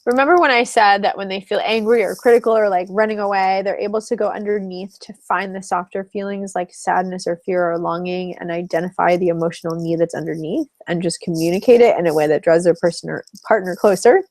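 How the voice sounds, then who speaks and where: A woman's voice: fairly low pitch, steady tone, brisk, hardly any pauses, darkish tone, no echo. A woman relationship coach teaching a live webinar in a chatty, explanatory way, laughing between points.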